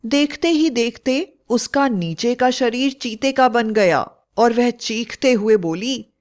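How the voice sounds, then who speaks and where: A woman's voice: on the high side, slow pace, darkish tone. A woman narrating a scripted horror fairy tale in a dramatic storytelling style.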